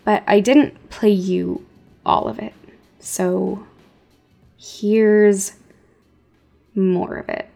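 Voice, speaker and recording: A woman's voice: medium pitch, flat delivery, drawn-out words, vocal fry, pauses often, dark tone. Woman podcast host over music, wry and emphatic. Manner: wry, emphatic repetition for effect. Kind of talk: scripted podcast narration with casual asides.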